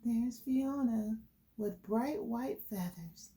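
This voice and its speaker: A woman's voice: a little high, slow pace, mellow, poor audio. A woman hosting a children's storytime, reading a picture book aloud and explaining it to young listeners. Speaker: children's storytime host and reader.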